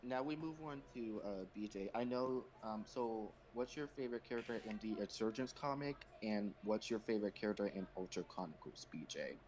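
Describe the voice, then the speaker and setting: A man's voice: lowish voice, animated, a little fast, few pauses, a little mellow. A man hosting a casual group question-and-answer chat on a voice call. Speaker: host of a fan comic dub Q&A stream. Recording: noisy home microphone.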